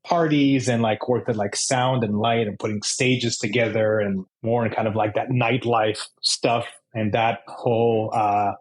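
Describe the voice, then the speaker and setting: A man with an Israeli accent giving casual interview answers as a guest, in halting, loosely phrased speech. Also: medium pitch, fairly even intonation. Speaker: interview guest, bike shop owner.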